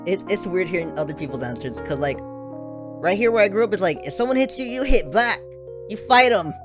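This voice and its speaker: A chatty stream host who keeps breaking into giggles, with a voice that sits at an ordinary middle pitch. Plucked guitar music plays clearly behind the talk. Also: animated, fairly quick. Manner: giggly, chatty, teasing questions. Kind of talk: casual streamed chat.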